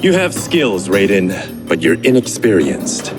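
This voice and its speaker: A battle-hardened swordsman in a game cutscene. His deep voice is slow and dry, stern and sardonic, set against loud music. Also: very animated. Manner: dry, sarcastic, terse. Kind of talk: video game cutscene acting.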